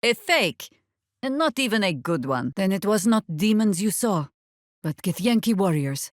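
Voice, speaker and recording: An actress playing a seasoned, middle-aged druid woman in a video game, a wry and stern resistance fighter who speaks with measured, commanding dryness. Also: medium pitch, sing-song, dry recording, studio quality, close to an everyday voice. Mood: dry, serious. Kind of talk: video game character dialogue, dramatic acting.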